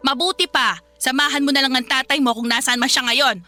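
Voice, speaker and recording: A woman's voice: sits high, steady tone, brisk, sharp tone. A woman playing a hot-tempered, money-minded mother in a radio drama, scolding in an exasperated, emphatic way. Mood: angry, scolding.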